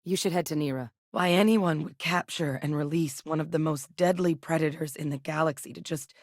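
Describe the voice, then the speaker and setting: A seasoned woman military scientist from a space sci-fi game. Her voice sits on the low side and is recorded dry and close. She speaks in measured, earnest lines with crisp authority. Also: darkish tone, no room sound. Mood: focused, professional. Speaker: video game voice actress. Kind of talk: scripted game character dialogue.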